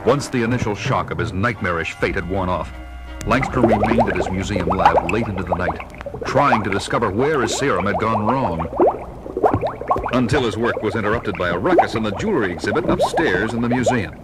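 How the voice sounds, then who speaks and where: A man playing a serious, steady masked hero who narrates his story with authority in a children's audio drama. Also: on the low side, brisk, natural voice, poor audio. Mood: grave storytelling. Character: steady, heroic adult male masked crime fighter. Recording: very loud music behind.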